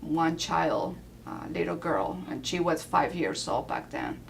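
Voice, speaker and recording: A woman in her forties from Peru with a Peruvian Spanish accent, chatting casually as an interview guest in a relaxed way with soft fillers. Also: deep voice, a little slow, creaky, slight background noise. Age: 40s.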